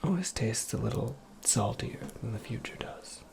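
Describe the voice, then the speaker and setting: A performer voicing an eccentric, absent-minded witch in a breathy, creaky ASMR roleplay, rambling with wry, cryptic politeness. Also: mid-range voice, animated, normal speed. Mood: politely whimsical. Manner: rambling, whimsical, politely formal, self-correcting. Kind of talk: soft-spoken ASMR roleplay monologue.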